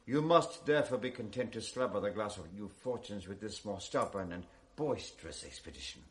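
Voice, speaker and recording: A man's voice: lowish voice, lively intonation, a little fast, natural voice. A man playing an authoritative duke presiding over a council in a 1980s radio drama, with formal, commanding address.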